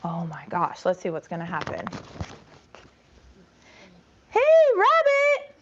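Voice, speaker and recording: A woman's voice: lowish voice, steady tone, fairly quick, dull audio, very noisy. A woman reading a storybook to young children as their teacher, explaining words and prompting them to join in. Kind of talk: interactive storybook read-aloud.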